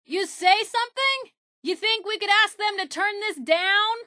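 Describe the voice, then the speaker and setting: A woman's voice: very high-pitched, measured pace, pushed and bright, no echo, studio quality, exaggerated voice. An actress playing a nosy, wisecracking young woman newspaper reporter as a video game companion, wry and sarcastic in manner. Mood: raised, wry.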